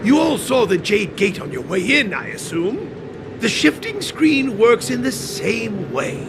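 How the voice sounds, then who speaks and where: Eccentric master craftsman for a game: very high register, unhurried, excitable, grandly theatrical with archaic verse. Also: background noise, exaggerated voice. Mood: proud, theatrical. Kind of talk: video game character acting.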